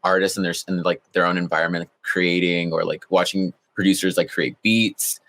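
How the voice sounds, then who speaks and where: A laid-back young man with a very low voice, chatting as a podcast guest. He fills his sentences with "like" and keeps an easy, relaxed flow. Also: fairly quick. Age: young adult. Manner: laid back, heavy use of "like". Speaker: guest, college student. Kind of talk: casual interview chat.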